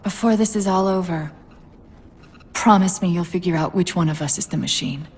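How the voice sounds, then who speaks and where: A woman's voice: lowish voice, normal speed, close to an everyday voice. An actress playing a brainy, wistful female artificial intelligence, precise and reflective, in a video game cutscene. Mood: wistful, sad. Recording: faint background noise, ambience.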